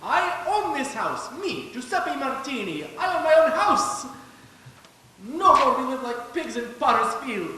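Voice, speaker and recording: A man's voice: very high voice, sing-song, measured pace, bright, forceful tone, voice pushed up, full character voice. A man playing a proud, exuberant Italian immigrant with an Italian accent in a live radio play. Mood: proud, joyful. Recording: echoey hall, distant, faint noise.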